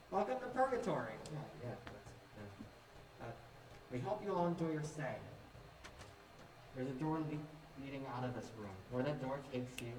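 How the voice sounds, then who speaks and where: A man plays a nervous, awkward young man in a student stage drama. He hesitates and stammers through his lines at a middling pitch. The recording is distant and echoey, with clear background noise. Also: lightly acted. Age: young adult. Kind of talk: student stage play acting. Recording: echoey, noisy room from far off.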